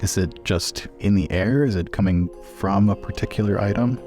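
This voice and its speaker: Male roleplay player, low register, deliberate and inquisitive delivery, faint music underneath. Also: a little mellow. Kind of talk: tabletop roleplay, inquisitive questions and action narration.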